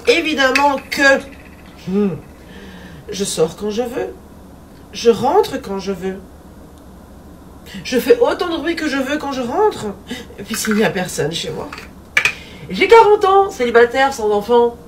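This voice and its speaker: Woman, 40s, higher voice, playful chatty monologue, distant mic, faint room noise. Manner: playful and chatty, repeats a refrain, laughs often. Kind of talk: casual monologue.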